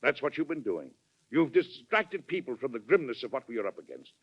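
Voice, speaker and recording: Firm American president, muffled old radio. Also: male voice, mid-range voice, sing-song, slightly rough. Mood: stern. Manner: formal, authoritative, deliberate persuasion. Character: firm, weary, principled male American president. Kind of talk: 1940s radio drama acting. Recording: muffled 1940s radio recording.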